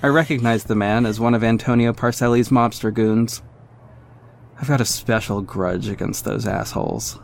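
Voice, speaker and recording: A man's voice: mid-range voice, fairly even intonation, moderate pace, creaky, a little hiss or hum, natural voice. A man playing a confident young vigilante who narrates his own exploits in a wry, smug way in an audio drama. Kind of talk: scripted first-person audio drama narration.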